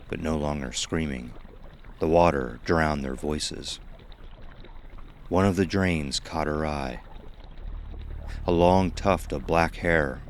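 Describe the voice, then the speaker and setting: Very deep male horror narrator. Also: a little hiss or hum. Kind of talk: scripted horror story narration.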